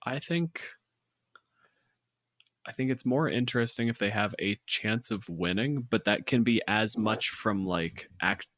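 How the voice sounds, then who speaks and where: A man's voice: lowish voice, a little slow, a bit bright, dry recording, clean studio sound. A man talking through plans in an informal, sweary podcast conversation. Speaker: podcast co-host, physicist. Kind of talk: casual planning chat.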